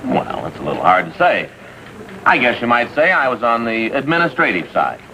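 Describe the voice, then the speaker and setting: A man's voice: mid-range voice, huge pitch swings, moderate pace, bright, forceful tone, full character voice. A man playing an earnest, chatty police detective sidekick in a 1950s TV drama, with an American accent. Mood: sheepish. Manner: eager, chatty, self-satisfied. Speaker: supporting actor. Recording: faintly noisy vintage TV soundtrack.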